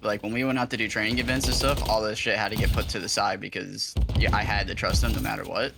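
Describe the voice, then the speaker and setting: Young guy, kinda low voice, chatting halting and relaxed, game gunfire in the background. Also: gravelly, noisy background. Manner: relaxed, halting, restarts often. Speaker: guest player, army veteran. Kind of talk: casual chat while gaming.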